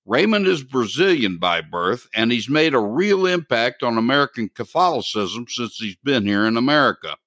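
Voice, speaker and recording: A man's voice: mid-range voice, big pitch swings, normal speed, few pauses, a bit bright, dry recording, clean studio sound. A man hosting a podcast interview who introduces and welcomes his guest with enthusiasm. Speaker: podcast host.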